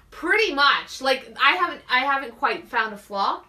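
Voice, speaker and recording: Bright, high-pitched enthusiastic woman. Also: animated, unhurried. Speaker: talk show co-host. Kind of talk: casual conversation about games and films.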